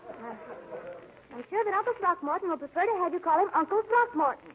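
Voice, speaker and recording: Prim young big sister, high expressive voice, corrective, 1940s radio comedy, muffled recording. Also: female voice, fast talker, a little hiss or hum. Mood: prim, flustered. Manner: prim, corrective, flustered at times.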